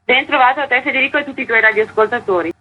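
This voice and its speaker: Female interview guest, higher register, sharp bright tone, articulate and formal explanations. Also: very fast, husky, muffled recording. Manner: formal, articulate, elaborate phrasing. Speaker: festival director, interview guest. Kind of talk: radio interview, explanatory answers.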